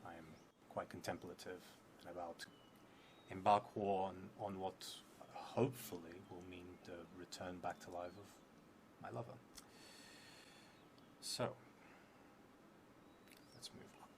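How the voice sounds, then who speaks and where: A man in his thirties narrating a solo tabletop game on a stream, unhurried, thinking aloud and murmuring asides. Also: low voice. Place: indoor room at a theatre, at a table. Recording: noisy room with steady background noise.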